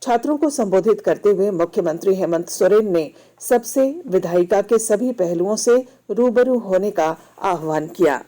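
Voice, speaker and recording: A woman newsreader delivers a scripted radio bulletin in a formal, measured way. Her voice is mellow and relaxed, sitting at a medium pitch. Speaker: news reader.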